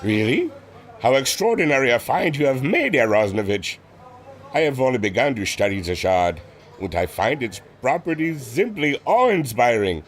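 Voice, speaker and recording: An actor playing a modest, earnest German engineer with a German accent, speaking formally and a little hesitantly in a radio drama. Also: male voice, mid-range voice, very animated, moderate pace, lightly acted. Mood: polite, curious. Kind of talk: radio adventure drama acting.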